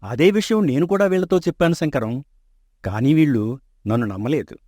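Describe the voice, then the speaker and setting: An actor playing a kindly, trusting older father in a storybook tale, speaking gently. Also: on the high side, animated, brisk, no room sound, clean studio sound. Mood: fatherly.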